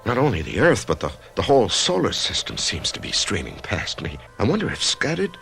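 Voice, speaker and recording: An actor playing an author who narrates his own death in a whispery, hushed voice, stammering with wonder, in a radio drama. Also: fairly low pitch, huge pitch swings, brisk, gravelly, soft background music, exaggerated voice. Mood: awed. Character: dying male author, awed, curious. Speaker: narrator and lead.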